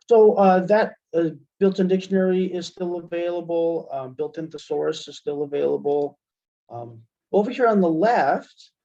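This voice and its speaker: Male webinar instructor, unhurried delivery, informal step-by-step explaining, slightly muffled lo-fi recording. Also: a little high, creaky, mellow. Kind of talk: software tutorial demonstration. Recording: dull, lo-fi webinar audio.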